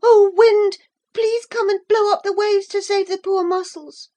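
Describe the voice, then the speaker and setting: A woman voicing a timid, frightened little boy in a storybook reading, with a high, tearful voice. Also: unhurried, mellow. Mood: fearful.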